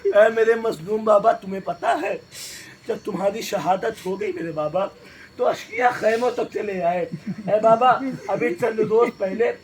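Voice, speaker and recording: Man voicing a heartbroken little girl, very high, sobbing and crying out in mourning. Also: background noise, lightly acted. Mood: wailing lament. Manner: wailing, sobbing lament. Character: grieving little girl crying for her slain father.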